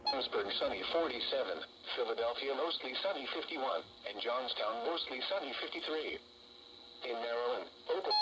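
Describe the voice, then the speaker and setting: Through a small radio speaker with heavy noise, a synthetic male announcer reads a weather bulletin. The voice is medium in pitch and delivers it in clipped, impersonal phrases. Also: lively intonation, moderate pace. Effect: heard through a weather radio speaker. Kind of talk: scripted weather bulletin read out.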